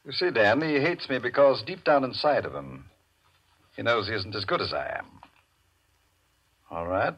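An American man playing a cold, domineering gambler in a 1940s radio drama, with a husky voice and a commanding, mocking, measured delivery. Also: mid-range voice, lively intonation. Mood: cold contempt. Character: Barney, cold domineering high-stakes gambler, taunting and fearless. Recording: muffled old radio recording.

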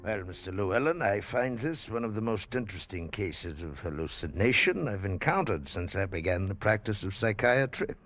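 An actor playing a calm, skeptical psychiatrist, speaking in a measured, formal way in 1940s radio style. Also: male voice, fairly low pitch, over music, close to an everyday voice. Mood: calm, reassuring. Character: calm, rational, skeptical psychiatrist, a professional man. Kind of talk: 1940s radio drama acting.

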